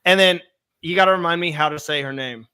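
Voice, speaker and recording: A young man hosting a live podcast, chatty and upbeat, his voice hoarse as it recovers from being sick. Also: on the high side, quick pace, pushed and bright. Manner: chatty, upbeat, reads viewer comments aloud. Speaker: podcast host.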